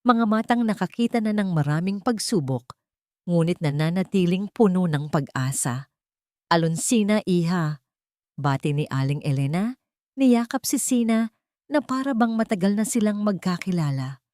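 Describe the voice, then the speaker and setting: Gentle Filipino woman storyteller, low voice. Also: animated, normal speed, no room sound, studio quality. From Philippines. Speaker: audiobook narrator. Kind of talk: scripted storybook narration.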